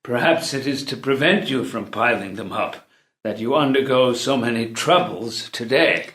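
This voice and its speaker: A man's voice: medium pitch, normal speed, a bit bright, close to an everyday voice. A man playing a stern older jailer torn by duty, declaiming grandly in a play read-through. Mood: grave reproach. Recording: roomy, echoey sound.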